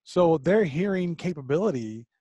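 A man's voice: fairly high pitch, expressive, moderate pace, dark tone, breathy edge, no echo, dull audio. A man in his forties hosting a video call interview on a headset mic, musing aloud with fillers and restarts. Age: 40s. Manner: thinks aloud with fillers and repeated starts. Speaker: podcast host. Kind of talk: conversational interview. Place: home studio with a flag behind, indoors.

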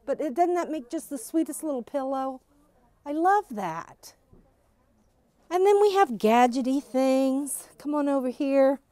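Bubbly high-voiced woman touring a quilt shop. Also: expressive, unhurried, warm tone, dry recording. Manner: bubbly, gushing enthusiasm. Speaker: quilt shop employee giving a shop update. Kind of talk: casual shop tour walkthrough. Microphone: phone camera following her, wearing a face mask.

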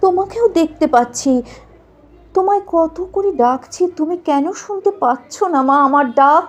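A woman's voice: high voice, animated, moderate pace. A woman reciting as the ghost of a murdered young woman doctor, speaking to her mother in an anguished, tearful, pleading way. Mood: bewildered grief. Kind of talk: dramatic recitation.

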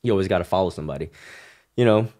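Very deep-voiced man telling his story. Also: rapid-fire. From Hong Kong. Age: 30s. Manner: relaxed, loose phrasing with frequent "you know" and "right?". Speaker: podcast guest. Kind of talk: casual conversational storytelling.

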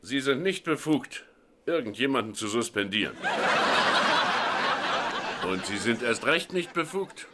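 A stern sitcom school principal played by a man, formal and reproachful. His medium-pitched voice comes over a very noisy soundtrack. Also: lively intonation, measured pace, pauses often, lightly acted. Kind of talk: dubbed sitcom acting.

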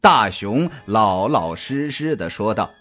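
A man's voice: on the low side, big pitch swings, slow, fairly bright tone. A man narrating a scripted suspense story as an audiobook reader, in a dramatic storytelling style. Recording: music behind the voice. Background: background music.

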